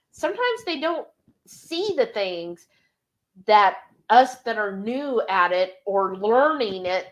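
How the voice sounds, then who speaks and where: Lively woman hosting a live stream. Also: fairly high pitch. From Texas. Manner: chatty and encouraging, with drawn-out asides. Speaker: host of live cooking stream. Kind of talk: casual live-stream chat, reading viewer comments aloud.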